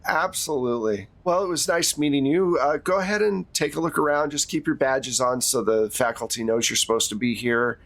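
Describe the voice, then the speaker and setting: A man playing a weary school principal in their sixties, frank and gently exasperated, talking haltingly in a tabletop roleplay. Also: mid-range voice, no echo, lightly acted. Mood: polite. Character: weary sixty-two-year-old school principal nearing retirement, frank.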